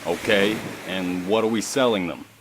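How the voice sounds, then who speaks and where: A man's voice: deep voice, moderate pace, noisy background, lightly acted. A man playing a thick-skulled bodybuilder henchman, casual and plain-spoken, in a crime radio drama. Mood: doubtful. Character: thick-skulled bodybuilder mob henchman, doubtful but obedient.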